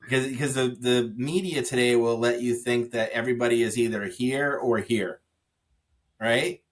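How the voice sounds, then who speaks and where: A man speaking as a podcast guest, telling stories in an animated way with frequent restarts and "you know" fillers. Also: mid-range voice, moderate pace. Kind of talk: conversational interview.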